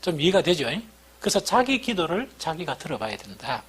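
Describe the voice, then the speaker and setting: Husky korean guy w/ busan gyeongsang accent, preaching casually, pitch jumps around a lot, keeps asking questions and repeating himself. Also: sing-song, fairly quick, fairly bright tone. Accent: Busan-area Gyeongsang. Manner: conversational, asks listeners rhetorical questions and repeats key points. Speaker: pastor. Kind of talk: sermon, informal teaching.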